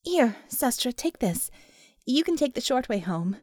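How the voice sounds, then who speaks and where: A woman voicing a brisk, friendly young female technomage in an audiobook, peppering her orders with Russian words. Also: on the high side, very animated, a little mellow, no echo, studio quality. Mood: friendly, casual.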